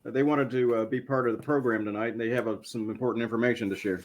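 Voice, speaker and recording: A male co-host at an ordinary middle pitch asks curious questions in a casual, hesitant way. He circles around, restarting his sentences before he lands on the question. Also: steady tone, quick pace. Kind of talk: casual chat.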